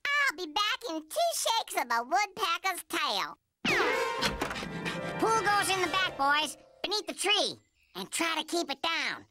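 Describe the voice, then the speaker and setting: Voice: very high voice, big pitch swings, measured pace, full character voice. An actor voicing a brash, mischievous cartoon bird with a gushing, sing-song, bossy manner. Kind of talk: animated cartoon voice acting. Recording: loud cartoon music behind the voice.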